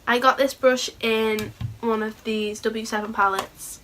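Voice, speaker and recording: A woman with a sore throat and a hoarse voice, chatting casually and a bit scatterbrained through a makeup tutorial. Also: a little high, flat delivery, measured pace, bright, forceful tone, a little hiss or hum. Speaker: beauty vlogger.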